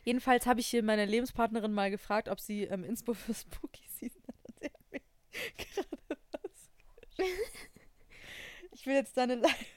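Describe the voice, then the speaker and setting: A woman's voice: fairly high pitch, animated, normal speed, pauses often, darkish tone, no echo. A young woman co-hosting a podcast, chatting casually, giggling and mixing in English phrases. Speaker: podcast co-host. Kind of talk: casual chat.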